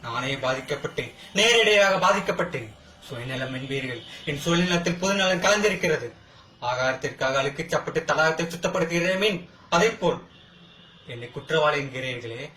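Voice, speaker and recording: A man's voice: a little high, animated, pushed and bright, a little hiss or hum. A man acting a wronged, defiant brother who pleads his case in a declamatory, rhetorical Tamil film courtroom monologue. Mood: wounded, proud. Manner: declamatory, rhetorical, impassioned, with dramatic pauses. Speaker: amateur actor practising. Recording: echoey room.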